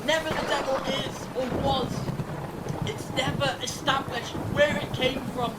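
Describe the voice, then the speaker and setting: An actor playing a clever, grave time-travelling adventurer in a fan audio drama, explaining things in a measured, dramatic way. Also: steady tone. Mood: intent, reasoning. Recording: distant mic, rumbling storm-like noise.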